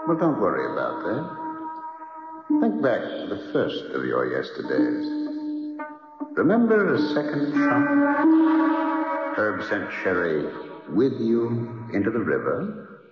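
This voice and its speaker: An actor playing a calm, sinister otherworldly bargainer with a mocking, deliberate manner in a radio drama. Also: male voice, medium pitch, over loud music. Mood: mocking, unhurried. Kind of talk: 1970s radio drama acting. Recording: echoing long reverb, dull old radio recording.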